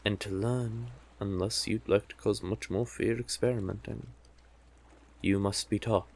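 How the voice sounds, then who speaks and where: Man voicing a slow, gravelly, coaxing sea witch. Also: fairly low pitch, steady tone, darkish tone. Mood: persuasive, coaxing. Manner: slow coaxing endearments, theatrical and velvety. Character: cunning sea witch cursed into monstrous form, silkily coaxing. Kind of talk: intimate scripted audio roleplay.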